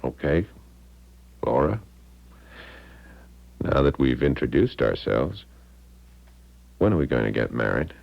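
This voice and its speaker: An actor playing a confident young American suitor in a 1970s radio drama, direct, teasing and pressing. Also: male voice, a bass voice, big pitch swings, takes it slowly, creaky, long pauses, full character voice. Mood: coaxing, insistent. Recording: dull 1970s radio broadcast recording.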